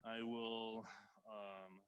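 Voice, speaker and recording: A man's voice: lowish voice, flat delivery, drawn-out words, clear, resonant tone, dull audio. Man presenting through a face mask. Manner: formal, filler-laden presenting. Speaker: town planner presenting a site plan. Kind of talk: staff presentation. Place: council chamber. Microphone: speaking through a face mask.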